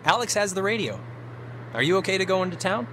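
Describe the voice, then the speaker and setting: High, bright, petulant American teenage boy. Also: very animated, quick pace, a little hiss or hum. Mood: defensive. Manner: whiny, defensive, sarcastic. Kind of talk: comic naturalistic video game acting.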